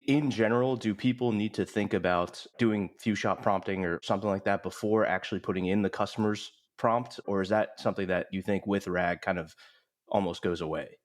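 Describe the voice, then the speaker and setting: A man's voice: fairly low pitch, fairly even intonation, fairly quick. A man hosting a podcast, asking curious, loosely phrased technical questions. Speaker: podcast host. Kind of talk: interview questions.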